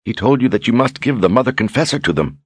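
A man's voice: medium pitch, lively intonation, fast talker, slightly rough, no echo, clean studio sound, voice pushed up. A man doing a naive, rustic young woman. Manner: cautious, naive, rustic grammar. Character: simple-minded loyal young woman, sorceress.

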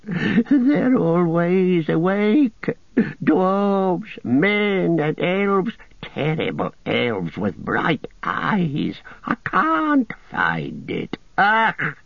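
A pitiful, half-mad creature whines and shrieks, leaping wildly between high and low. The voice is breathy and drawn out. It sits in a dull, dry recording. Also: male voice, huge pitch swings, very slow, no echo, full character voice. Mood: sobbing desperate. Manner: whimpering, shrieking, hissing. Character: wretched creature, split-minded, whining and hissing.

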